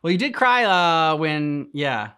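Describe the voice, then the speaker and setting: A man's voice: on the high side, sing-song, slow, sharp tone, smooth. A man co-hosting a reaction video, talking in a casual, conversational way. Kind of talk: casual chat.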